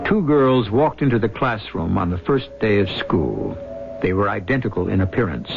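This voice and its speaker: Low, creaky male radio drama host. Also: very animated. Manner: deliberate, theatrical, posing questions. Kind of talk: scripted dramatic radio narration. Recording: dull 1970s radio recording, music behind.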